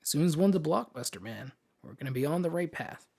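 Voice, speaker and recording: A man's voice: on the high side, lively intonation, fairly quick, vocal fry, darkish tone, breathy edge. A man hosting a podcast, chatting casually and informally about films, with slangy phrasing and some mild swearing. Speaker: podcast host. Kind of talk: casual film podcast chat.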